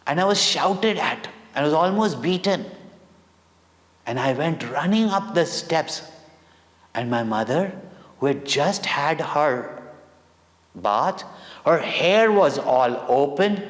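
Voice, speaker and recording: A man with an Indian accent telling devotional stories to children in a deliberate, theatrical way with dramatic pauses and emphasis. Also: a little high, lively intonation. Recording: long echoing hall reverb.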